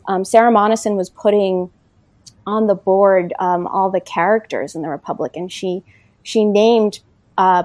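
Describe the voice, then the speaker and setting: A woman political science professor being interviewed about her book, speaking thoughtfully with many pauses and fillers. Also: mid-range voice, dry recording. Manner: thoughtful, many ums and you knows. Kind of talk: academic interview.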